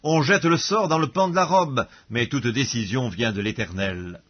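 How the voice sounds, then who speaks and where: A man reads scripture aloud as an audiobook narrator, solemn and measured, with formal diction, on a dull recording. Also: medium pitch, husky.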